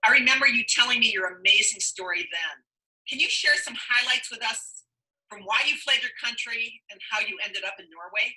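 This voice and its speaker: A woman hosts a webinar and asks her guests questions in a friendly, encouraging way. Her voice is very bright and sharp. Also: a little high, fairly quick, low-quality recording. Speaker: webinar host. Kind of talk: interviewing guests.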